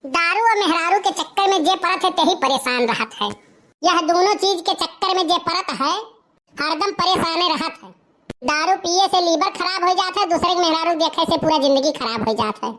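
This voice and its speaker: A mischievous Awadhi village lad in a cartoon comedy. His voice is extremely high and squeaky, like a child's. He protests and wisecracks in a whiny, defiant, argumentative way. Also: moderate pace, sharp tone. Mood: mock-preachy. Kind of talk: comic cartoon dialogue.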